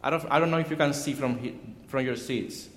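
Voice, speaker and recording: A man from Cuba with a Cuban Spanish accent, whose first language is Spanish, preaching a sermon in an earnest, measured way and often restarting words. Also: medium pitch, fairly bright tone. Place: church sanctuary. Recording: echoey hall from far off.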